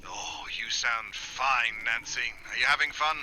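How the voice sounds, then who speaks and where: Very sharp, suave secret agent played by a man. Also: mid-range voice, measured pace, slight background noise. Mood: breezy. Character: breezy, unflappable male secret agent, smooth with his sweetheart. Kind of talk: 1940s radio acting.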